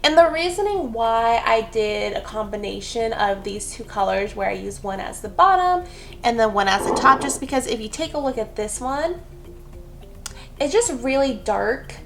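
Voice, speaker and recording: A woman with a fairly high voice talks through a nail art tutorial in a casual, rambling way. She drifts into easygoing asides about herself as she works. Soft music plays faintly underneath. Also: lively intonation, moderate pace, gravelly. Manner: chatty, rambling, self-deprecating asides. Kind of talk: casual how-to narration.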